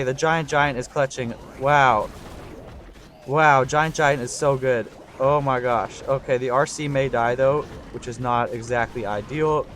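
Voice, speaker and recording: A slightly hoarse young man giving live commentary on a strategy game, talking through his thinking and getting excited on big plays. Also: medium pitch, a little hiss or hum. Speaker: gaming channel host.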